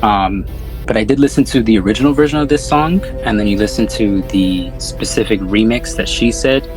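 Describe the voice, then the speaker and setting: A man's voice: lowish voice, fairly quick, over music, poor audio. A man hosting a podcast in a casual, slangy film discussion, swearing freely. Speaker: podcast host.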